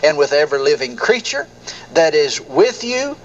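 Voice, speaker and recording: A man's voice: a little high, very animated, normal speed, highs cut off, a loud crowd. A man solemnly reading from the Bible, heard through a radio speaker. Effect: played through a radio speaker. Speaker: scripture reader on a radio broadcast. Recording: radio broadcast with loud voices over it.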